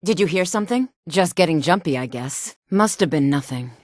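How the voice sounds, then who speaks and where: A woman's voice: sits low, animated, brisk, dry recording, studio quality. Sultry, haughty fantasy game townswoman. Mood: wary. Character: sultry adult fantasy townswoman, haughty, flirtatious, quick to threaten. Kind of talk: video game voice lines, fantasy dialogue and combat barks.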